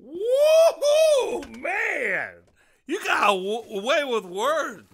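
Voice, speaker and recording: An actor playing a chummy, prying drunk at a bar, with grandiloquent, rambling, slurred speech in an audio drama. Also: male voice, very high-pitched, sing-song, very slow, fairly bright tone, exaggerated voice. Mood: boisterous, needling. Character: tipsy middle-of-the-night barfly, chummy, prying, grandiloquent.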